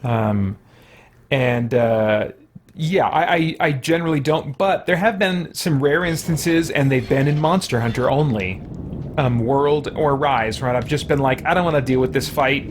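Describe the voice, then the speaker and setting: A man hosting a gaming livestream, chatting casually and thinking aloud in a rambling way. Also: a little slow. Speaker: livestream host. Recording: noisy background rumble.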